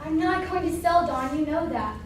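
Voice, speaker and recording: A woman's voice: high voice, expressive, moderate pace, a little hiss or hum. A woman acting a reluctant, unimpressed food judge in an amateur stage comedy. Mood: reluctant, matter-of-fact. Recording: echoey hall, far mic, music bleed.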